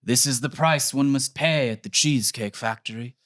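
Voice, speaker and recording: A man's voice: mid-range voice, normal speed, fairly bright tone, voice pushed up, natural voice. An ancient, skeletal waiter, performed by a man in a sketch comedy reading. He is solemn and ominously formal, announcing everything with portentous, old-world grandeur. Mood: grave, portentous. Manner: portentous, archaic formal speech. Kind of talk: comedy sketch table read.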